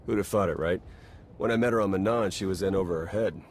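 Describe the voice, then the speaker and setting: An actor playing a wry, weary secret agent in a game, with a sardonic, casual delivery. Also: male voice, low voice, quick pace, slight background noise, close to an everyday voice. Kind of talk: video game cutscene acting.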